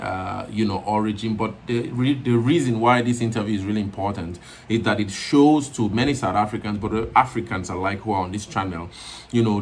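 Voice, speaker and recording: A man's voice: fairly low pitch, a little hiss or hum. A man hosting a commentary channel, talking in a rambling way with many restarts, fillers and self-corrections. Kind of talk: video commentary monologue.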